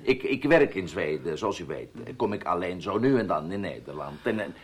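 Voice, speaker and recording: A man acting a menacing, scheming ex-lover in a Dutch radio play, taunting and mock-polite in a deliberate way. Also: low voice, very animated, husky, full character voice. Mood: falsely polite. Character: menacing scheming male ex-lover, smooth blackmailer.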